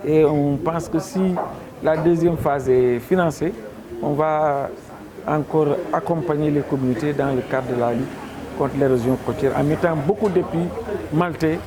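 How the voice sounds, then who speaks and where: Higher-voiced Senegalese man over crowd chatter. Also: measured pace, rough recording. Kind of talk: spontaneous interview remarks. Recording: busy crowd chatter behind voice.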